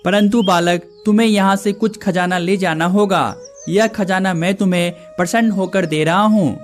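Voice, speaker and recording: A performer voices an old hermit guarding a cave in a cartoon moral tale. The delivery is solemn and formal, stern but kindly, at a medium pitch with music clearly underneath. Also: steady tone, moderate pace, natural voice. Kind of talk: cartoon moral story dialogue.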